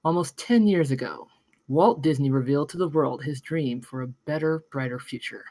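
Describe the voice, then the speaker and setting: A woman's voice: rumbling low, normal speed, mellow, no echo. A woman reading an inviting 1970s promotional film announcer in a script table read, with a polished sales delivery.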